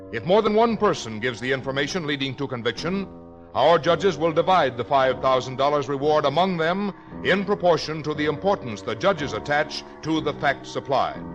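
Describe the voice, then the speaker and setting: An American man announcing on a 1950s radio broadcast, reading a script in a formal, measured way with deliberate enunciation. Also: on the high side, poor audio.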